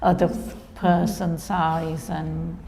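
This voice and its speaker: A woman born in India and raised in Kenya, calmly recounting her childhood in an interview. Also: low-pitched, slow pace, creaky. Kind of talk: personal storytelling. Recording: reverberant room with faint noise.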